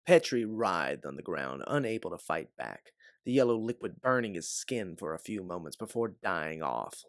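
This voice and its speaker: A man narrating a science-fiction adventure story as an audiobook reader, with theatrical emphasis and a measured, dramatic delivery. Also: low voice, creaky, no echo, clean studio sound. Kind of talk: dramatic second-person sci-fi story narration.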